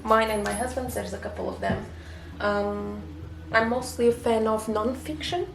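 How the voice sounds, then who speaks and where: A woman from Portugal speaking English with a Portuguese accent, her voice gravelly with fry. She talks in a casual, halting way, full of fillers and restarts. The echoey room at home adds a roomy sound. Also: fairly high pitch, big pitch swings. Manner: hesitant, many fillers and restarts. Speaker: booktuber. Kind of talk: casual vlog chat.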